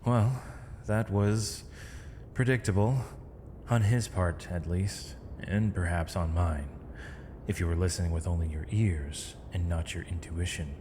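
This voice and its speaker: Man acting a deep-voiced, eloquent captive villain mage. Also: steady tone. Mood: earnest, persuasive. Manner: eloquent, theatrical, measured formal diction. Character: misunderstood eloquent villain mage, proud, weary male captive. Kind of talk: ASMR roleplay acting. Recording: reverberant hall, faint noise, dull lo-fi sound.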